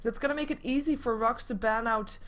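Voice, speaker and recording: A woman casting an esports match live in a casual, chatty, expressive way. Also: a little high, brisk. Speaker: esports commentator.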